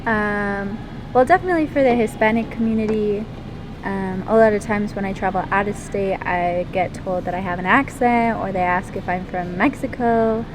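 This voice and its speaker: A teenage girl answering interview questions at a middle pitch, stopping often to think with ums and likes. A loud, steady rumble runs under her voice throughout. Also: normal speed. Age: teens. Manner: hesitant, many ums and likes. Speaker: interviewee, lifeguard and swimmer. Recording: loud rumbling background noise.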